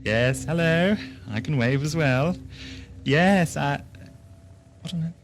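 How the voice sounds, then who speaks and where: An actor playing a whimsical, inquisitive time traveller in an audio drama, musing wryly to himself with music behind him. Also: male voice, a little high, slow pace, slight background noise. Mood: wary, amused. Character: whimsical, curious time-travelling male investigator, wry and talkative. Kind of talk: audio drama acting, soliloquy.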